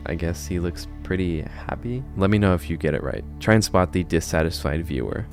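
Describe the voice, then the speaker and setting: A man's voice: low-pitched, brisk, a little mellow. A man narrating a horror video essay in a conversational, storytelling way. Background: background music.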